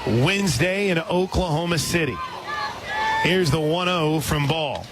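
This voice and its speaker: High-pitched male softball play-by-play announcer. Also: expressive, a little slow, crowd noise. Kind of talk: live softball play-by-play commentary. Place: outdoor softball stadium broadcast position. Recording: loud outdoor stadium noise behind. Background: loud stadium ambience.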